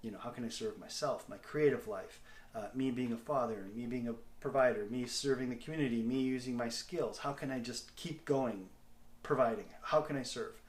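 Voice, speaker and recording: A middle-aged man with a Canadian accent talking casually to a video diary in a candid, self-deprecating, halting way. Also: medium pitch. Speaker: comedic actor and video diary host. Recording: echoey, roomy sound.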